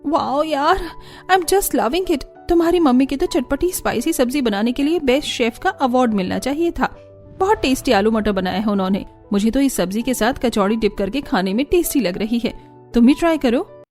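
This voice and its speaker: A woman voicing a bubbly, fussy young college woman in a cartoon story, expressive and playfully whiny. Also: high voice, moderate pace, faint music behind. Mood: delighted.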